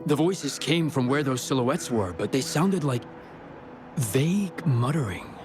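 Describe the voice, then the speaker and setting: Man playing a measured mine guard, mid-pitched. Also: animated, natural voice. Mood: pondering. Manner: measured, official, explanatory. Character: matter-of-fact mine patrol guard, measured and helpful. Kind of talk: video game dialogue. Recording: music under the voice. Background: game music.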